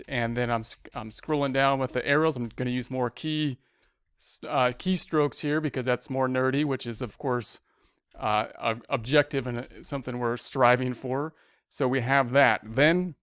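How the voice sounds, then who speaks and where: Clear-voiced man giving a tutorial. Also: medium pitch, fairly bright tone, no echo, studio quality. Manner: conversational, repeats and rephrases as he explains. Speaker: accounting instructor. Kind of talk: screencast tutorial, thinking aloud through a worksheet.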